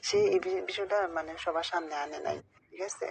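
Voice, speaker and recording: A woman's voice: on the low side, a little fast, vocal fry. A woman with a Bangladeshi accent chatting confidingly on a phone call, rambling and often checking that her friend follows. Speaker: caller telling a friend a personal story. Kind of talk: casual confiding phone chat. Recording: phone call recording, faint noise.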